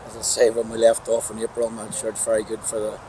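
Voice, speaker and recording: A man's voice: lowish voice, monotone, quick pace, a crowd in the background. A Northern Irish man in his forties, a football manager giving a plain-spoken post-match interview outdoors at a ground on a lapel mic. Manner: plain-spoken, upbeat, restarts phrases often. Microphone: clip-on lapel mic. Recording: outdoor background noise.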